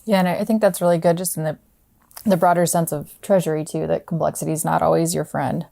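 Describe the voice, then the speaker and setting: Gravelly-toned woman hosting a podcast. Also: deep voice, steady tone, fast talker, mellow. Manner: friendly, searching for words with hedged questions. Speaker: podcast host. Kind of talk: conversational podcast interview.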